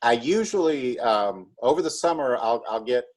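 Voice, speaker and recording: A man being interviewed as a school drama club director, explaining in a casual, halting way. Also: medium pitch, animated, a little mellow.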